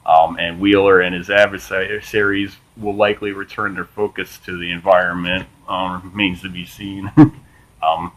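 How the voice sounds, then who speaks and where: A man hosting a small political commentary channel, reading from an article and chatting casually, with a flat monotone delivery and lots of ums and uhs. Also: sits low, normal speed, low-quality recording. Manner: swears casually, says "man" often.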